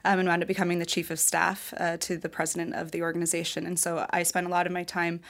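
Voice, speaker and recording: Female founder, lower register, clear and articulate storyteller. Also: monotone, quick pace, a bit bright. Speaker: MBA student, start-up founder. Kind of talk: interview answers.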